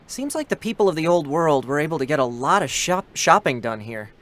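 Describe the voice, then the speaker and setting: Boyish, higher-pitched young android soldier. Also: male voice, big pitch swings, a little fast, a bit bright. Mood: curious, playful. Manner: chatty, sentimental, with boyish hesitations. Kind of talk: dramatic scripted reading with first-person narration.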